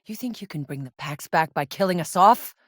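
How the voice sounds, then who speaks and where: A woman's voice: low voice, lively intonation, brisk, no echo. An actress playing a tough, sardonic, weary but determined woman soldier in a video game, with a terse, dry, hard-edged delivery. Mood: bitter. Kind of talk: acted video game voice lines.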